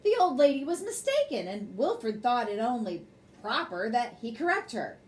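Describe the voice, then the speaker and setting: Woman, storyteller, higher-pitched voice, very animated intonation, expressive children's reading. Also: normal speed. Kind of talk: bedtime story read aloud.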